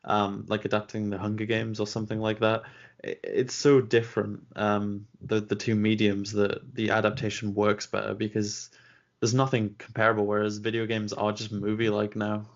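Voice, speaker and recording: Gravelly low-voiced man chatting. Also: monotone, highs cut off. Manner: measured, laughs often, rates things out of ten. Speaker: podcast co-host. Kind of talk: casual discussion.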